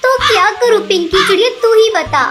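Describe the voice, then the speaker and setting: Cartoon mother bird: extremely high pitch, earnest and pleading, poor but kind. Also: expressive, moderate pace, music in the background. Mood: worried. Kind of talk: children's cartoon story voice acting.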